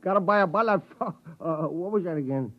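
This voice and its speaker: An actor playing a tipsy, henpecked husband who pleads and talks with mock grandeur, in a 1950s radio drama. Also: male voice, a little high, animated, fairly quick, dull audio, natural voice.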